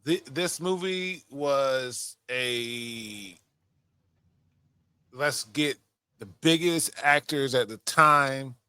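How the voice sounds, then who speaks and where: A man's voice: mid-range voice, drawn-out words, bright, forceful tone, clean tone. A man co-hosting a casual podcast, swearing easily and repeating words as he reacts and gives opinions. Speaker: podcast co-host. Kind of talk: casual chat about video game movies.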